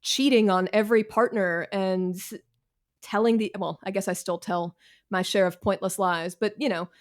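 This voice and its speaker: A woman's voice: medium pitch, steady tone, normal speed. Wry, candid American woman. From Washington, D.C., United States. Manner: candid, wry and self-deprecating, with slangy, swearing talk. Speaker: author, interview guest. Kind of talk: casual conversational interview.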